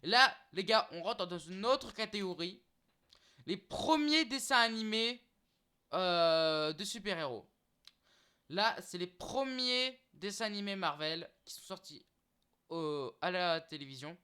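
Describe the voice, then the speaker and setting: A young man with a high, bright voice chats loosely to camera, stumbling through restarts and filler sounds as he goes. His tone is clean and smooth, and he keeps turning to his viewers as "guys." The talk is unscripted. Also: expressive, a little slow. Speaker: YouTuber listing streaming titles. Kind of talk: casual unscripted vlog talk.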